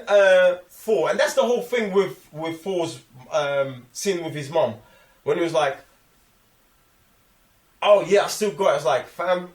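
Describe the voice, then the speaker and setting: A man's voice: sits high, far from the mic. A man with a London accent chatting casually about a film with friends, slangy and repeating phrases for emphasis. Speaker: film review podcast co-host. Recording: roomy echo, off the microphone.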